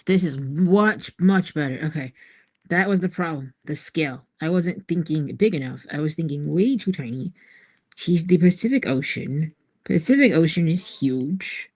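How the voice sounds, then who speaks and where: A woman's voice: low voice, a little mellow, breathy edge. A woman casually thinking aloud as she works on artwork, musing and hesitant, often trailing off between phrases. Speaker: artist and author, host.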